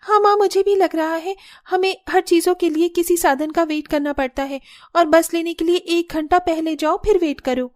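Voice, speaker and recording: Woman doing a high, whiny young student girl. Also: fairly even intonation, moderate pace, darkish tone. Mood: earnest. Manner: whiny, complaining. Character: young student sister-in-law, whiny and pleading. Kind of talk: Hindi cartoon story dialogue.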